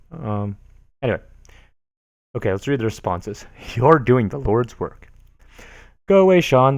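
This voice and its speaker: A man's voice: mid-range voice, no echo. Guy on a stream reading posts out all dramatic, cursing, dead dry sound. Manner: mock-dramatic, profane, swings between loud and soft. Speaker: stream host.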